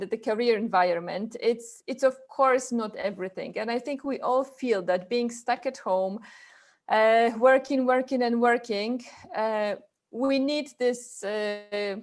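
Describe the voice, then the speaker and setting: High-voiced Polish woman presenting. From Poland. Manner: hesitant, halting phrasing with repeated words. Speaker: webinar presenter, chapter president. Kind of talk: informal online presentation.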